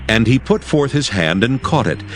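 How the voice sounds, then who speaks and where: A man narrating scripture from a script in a measured, formal manner. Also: medium pitch, sing-song, soft background music.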